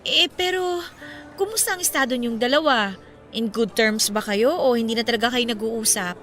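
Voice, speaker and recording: Actress as a bright, high-voiced concerned young girlfriend. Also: normal speed, faint music behind, close to an everyday voice. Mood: concerned, hopeful. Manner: expressive, sympathetic, inquisitive. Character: concerned, chatty young best friend, self-described tomboy. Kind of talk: Filipino radio drama dialogue.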